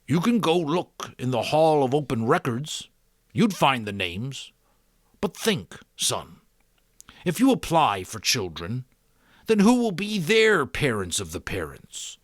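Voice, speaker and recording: A man voicing a wise, patient old male mentor in an audiobook, measured and gently probing. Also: mid-range voice, big pitch swings, no room sound, studio quality, pitched above the performer's own voice. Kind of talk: audiobook character voice.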